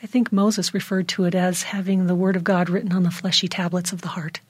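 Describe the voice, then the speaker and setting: A woman on a discussion panel, speaking in a measured, heartfelt way with soft emphasis. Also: on the low side, flat delivery, breathy edge, no echo, studio quality. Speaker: panel guest. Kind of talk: earnest discussion of faith.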